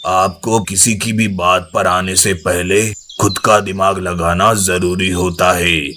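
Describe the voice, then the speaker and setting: A man plays a cartoon lion who rules the jungle. His voice is very low and his delivery slow, scolding and full of authority. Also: bright, forceful tone, a little hiss or hum, heavily acted. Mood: stern, advising. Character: stern commanding male lion, king of the jungle. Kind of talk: Hindi cartoon moral story dialogue.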